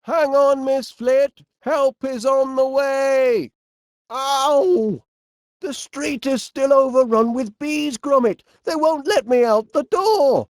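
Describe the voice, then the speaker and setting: Cartoon inventor, middle-aged, Northern English accent, cheerful, fussy, dithering, slow drawn-out delivery, dry recording. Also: male voice, very high-pitched, darkish tone, no echo, heavily acted. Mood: alarmed, calling out, then worried. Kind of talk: animated comedy game voice acting.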